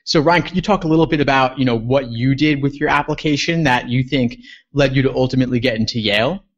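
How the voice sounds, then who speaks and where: A man hosting an interview, asking guiding questions in a measured way. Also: medium pitch. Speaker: host. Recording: dull lo-fi audio.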